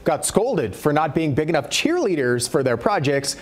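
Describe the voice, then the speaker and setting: A man's voice: a little high, big pitch swings, normal speed. A middle-aged man anchoring local TV news from a studio, reporting with wry, dry humor.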